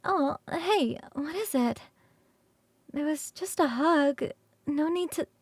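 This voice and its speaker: A woman voicing a young succubus roommate in an ASMR roleplay, casual, animated, chatty and affectionate. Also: sits high, drawn-out words, pauses often, dry recording, pitched above the performer's own voice, heavily acted.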